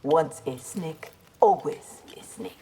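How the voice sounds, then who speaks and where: Principled female ninja warrior, fighting game. Nigerian accent, lowish voice, measured and unyielding. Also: warm tone, a little hiss or hum. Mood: stern, defiant. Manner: measured, formal, defiant. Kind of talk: video game fight dialogue.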